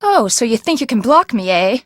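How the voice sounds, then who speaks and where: A woman's voice: fairly high pitch, sing-song, brisk, no echo, studio quality. A woman voice actor playing a haughty, hot-tempered, eccentric woman with a melodramatic delivery in a video game. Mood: taunting.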